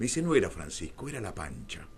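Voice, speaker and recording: A man's voice: low-pitched, big pitch swings, brisk, a little mellow, a little hiss or hum. A man with a Rioplatense Argentine accent telling anecdotes conversationally as a radio guest, wry and theatrical, acting out bits of dialogue. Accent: Rioplatense Argentine Spanish. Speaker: interview guest, filmmaker. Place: radio studio.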